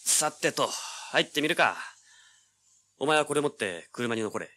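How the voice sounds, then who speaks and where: An actor playing a brash young male treasure hunter in an audio drama, with a rough, slangy, hard-boiled delivery. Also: medium pitch, lively intonation, moderate pace, pushed and bright. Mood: brusque. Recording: noisy old cassette audio drama.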